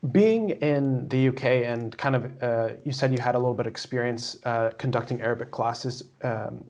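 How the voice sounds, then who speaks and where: A man's voice: medium pitch, moderate pace, darkish tone. Man asking questions, hesitant. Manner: brief backchannels, hesitant questions with fillers. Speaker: podcast host. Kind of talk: casual interview questions.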